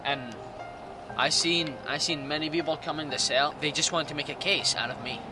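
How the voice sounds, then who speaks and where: A man in his late teens from Iraq with a Middle Eastern accent gives personal testimony in halting, non-native English. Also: a little high, fairly even intonation. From Iraq, Jordan and Syria. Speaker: documentary interview subject. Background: music.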